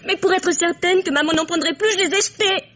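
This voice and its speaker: Distraught daughter, twenty, very high voice, breathless, melodramatic radio-play delivery, muffled vintage sound. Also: female voice, fast talker, fairly bright tone. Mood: distraught, bewildered. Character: anguished, headstrong twenty-year-old daughter accusing her elders of poisoning. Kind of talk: 1950s French radio mystery acting. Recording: dull vintage radio drama recording.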